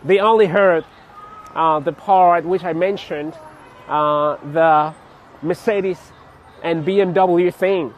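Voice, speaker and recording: A young man from Cameroon chatting casually to his vlog viewers outdoors on a city street, laughing often and pausing with fillers. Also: sits high, fairly even intonation. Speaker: vlogger. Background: faint street traffic.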